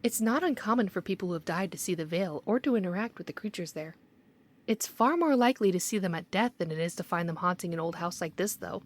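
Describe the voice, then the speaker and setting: An actress playing a strict, skeptical supervisor in an audio drama, firm and officious. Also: female voice, fairly low pitch, lively intonation, fast talker. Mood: dismissive.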